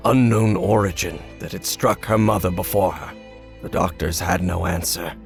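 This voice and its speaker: An actor playing a guilt-ridden older professor in a video game, speaking in a halting, grave, confessional way. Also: male voice, fairly low pitch, gravelly. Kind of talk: dramatic video game acting.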